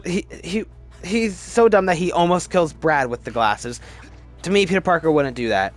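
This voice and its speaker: A man's voice: a little high, expressive, normal speed, faint music behind. A man giving casual, opinionated review commentary as a video host, talking emphatically and often stammering and repeating words. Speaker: video host ranking films.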